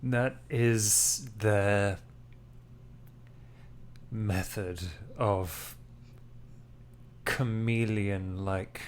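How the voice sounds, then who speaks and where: A man playing a very slow, grave priest. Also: fairly low pitch, fairly even intonation, a little hiss or hum. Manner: deliberate, formal, halting phrasing. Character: Father, grave, measured priest among monster hunters.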